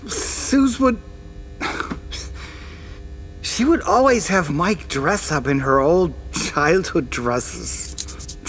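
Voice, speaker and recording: A man acting slow-talking, flippant deadbeat dad. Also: on the high side, lively intonation, fairly bright tone, faint music behind, full character voice. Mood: evasive, irritated. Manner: slow, flippant, hesitant, foul-mouthed. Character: crude, unrepentant middle-aged drunkard father under police questioning. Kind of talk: audio drama acting.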